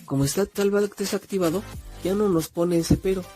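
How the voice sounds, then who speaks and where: A man's voice: high voice, fairly even intonation, moderate pace, mellow, soft background music. A man with a Mexican accent giving an informal step-by-step app tutorial, talking directly to his viewers in a conversational way. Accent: Mexican Spanish. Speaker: tutorial host.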